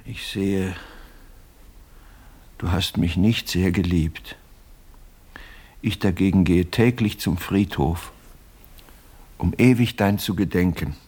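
An actor playing a pompous, miserly older widower in a radio play, self-justifying and fussily indignant, with a husky voice. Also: male voice, low voice, slow pace, long pauses, darkish tone, heavily acted. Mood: pleading, self-justifying.

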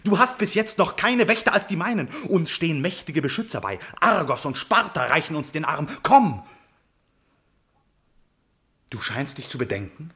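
An actor playing a noble, ardent young prince, declaiming impassioned verse in a classical German radio tragedy. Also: male voice, a little high, big pitch swings, normal speed, pushed and bright, exaggerated voice. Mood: urgent pleading.

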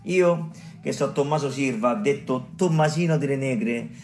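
A man's voice: medium pitch, a little slow, darkish tone, a little hiss or hum, natural voice. An actor playing a garrulous, earthy village caretaker who survived smallpox, telling his tale in Roman dialect, colloquial and digressive, in a radio play. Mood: confiding, emphatic. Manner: colloquial, digressive, emphatic, addressing listeners directly. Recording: clear music behind the voice.

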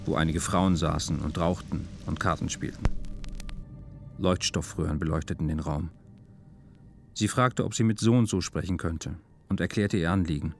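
A man's voice: low-pitched, slight background noise. A man narrating a literary radio drama from a script in a sober, matter-of-fact way. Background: music.

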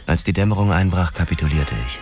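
An actor playing a hard-boiled, world-weary police detective narrating a noir radio play in a grim, measured, terse way. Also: male voice, low voice, soft background music, natural voice. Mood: grim, resigned.

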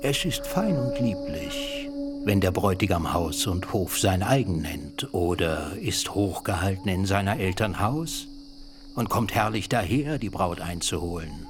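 A scheming, self-important older uncle in a radio drama, his voice very deep and his delivery slow and heavy. He haggles, grows solemn and sinks into whispers, with crickets chirping faintly at night. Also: expressive, noisy background. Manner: bargaining, solemn, swinging between loud and whispered. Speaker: actor in a radio play. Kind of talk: German radio drama acting.

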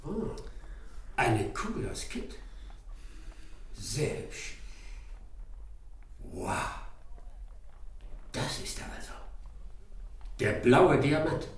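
A self-assured English detective in a radio crime comedy, heard in a roomy space a little away from the mic. He is courteous and precise, with dry irony and lively intonation. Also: medium pitch, very slow, pauses often, a little hiss or hum, exaggerated voice. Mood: meticulous. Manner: formal, precise, dryly ironic. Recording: roomy, distant from mic, faint music.

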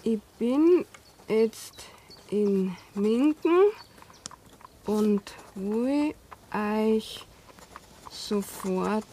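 An actress playing a naive young country woman with a Bavarian accent in a radio drama, speaking haltingly and simply. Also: medium pitch, warm tone. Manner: halting, spelling out words while writing. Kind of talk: 1970s German radio drama acting.

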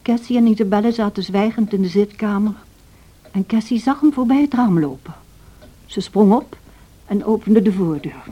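An actress playing a plain-spoken, disapproving housekeeper who narrates a radio drama in an earnest storytelling manner. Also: female voice, medium pitch, moderate pace, mellow, slightly rough, lightly acted. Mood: narrating. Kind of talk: scripted first-person narration in radio drama. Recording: dull lo-fi old radio recording.

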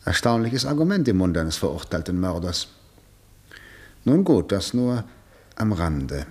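A stern senior prosecutor in a 1960 German radio play. His speech is formal and insistent, with highly animated intonation as he probes and questions.

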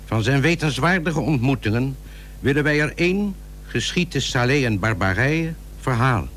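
An actor playing a solemn chronicler, narrating a period radio drama in formal, stately, archaic language. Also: male voice, mid-range voice, expressive, moderate pace, a bit bright, close to an everyday voice. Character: solemn male chronicler narrating a seafarer's life. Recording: noisy old radio recording with hum.

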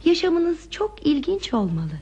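A woman playing a curious, romantic visitor in a radio play, with expressive, dreamy, theatrical phrasing. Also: very high-pitched, unhurried, highs cut off, exaggerated voice. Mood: enchanted, dreamy. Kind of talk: Turkish radio theatre acting.

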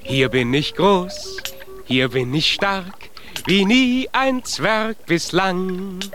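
An actor playing a proud, cheerful young dwarf inventor in a children's radio play, speaking with boastful emphasis. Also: male voice, sits high, drawn-out words, over music. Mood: proud singing. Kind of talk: children's radio play acting with some singing.

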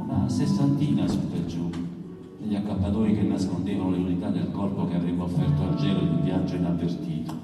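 A man giving a theatrical literary recitation of a first-person narrative, with deliberate phrasing. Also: lowish voice. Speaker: author reading his own text at a poetry event. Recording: very echoey hall, distant, music underneath. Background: music.

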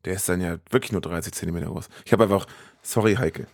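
Dry-sounding, lower-voiced man, playful podcast co-host. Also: fast talker, creaky, no echo. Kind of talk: casual podcast chat.

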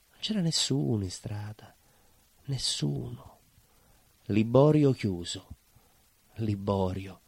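A jailed baker in a stage monologue, with a lowish voice and a Calabrian southern Italian accent. He is bitter and haunted, and speaks in short, insistent, repeated phrases. The sound is dry and close. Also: dry recording. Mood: anguished, haunted. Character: imprisoned Calabrian-emigrant baker, bitter, lovelorn, haunted, simmering with rage. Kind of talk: theatrical dramatic monologue.

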